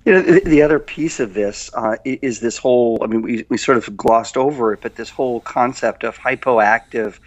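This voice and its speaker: A man with a mid-pitched voice chats casually as a podcast host. He feels his way into his points with halting phrasing, and the recording is a little dull and lo-fi. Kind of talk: casual interview chat.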